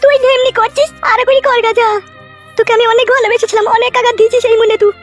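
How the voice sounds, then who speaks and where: Squeaky, jealous cartoon wife. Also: female voice, fairly even intonation, fast, soft background music. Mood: bitter scolding. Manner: sulky, nagging, accusing. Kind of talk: Bengali comedy cartoon dubbing.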